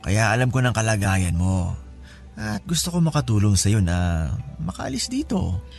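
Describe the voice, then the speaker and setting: An actor plays a scheming male relative in a Filipino radio drama, casual and overly familiar. His voice sits on the low side as he coaxes and offers favours. A light music bed runs behind him. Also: huge pitch swings, moderate pace, gravelly, a little mellow, full character voice. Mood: reassuring, conspiratorial. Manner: familiar, coaxing. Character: casual, scheming male in-law offering help with strings attached.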